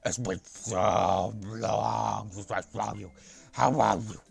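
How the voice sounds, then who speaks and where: A man doing a creaky cheeky schoolgirl. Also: lowish voice, steady tone, slow, faint music behind, exaggerated voice. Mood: cheerful. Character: cheeky young schoolgirl, playful and sporty. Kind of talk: voicing a visual novel character on a stream.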